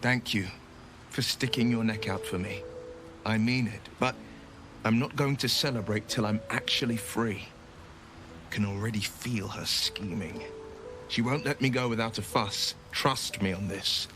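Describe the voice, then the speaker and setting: A man's voice: on the low side, unhurried, over music, close to an everyday voice. A man playing an earnest, noble young monster hunter in a video game, with a dramatic delivery. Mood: grateful, wary.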